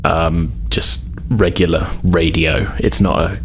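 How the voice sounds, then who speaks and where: A man narrates a tabletop roleplaying game as its game master in a very low voice. He improvises conversationally, hedging as he goes, with slight background noise. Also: fairly even intonation, slow pace.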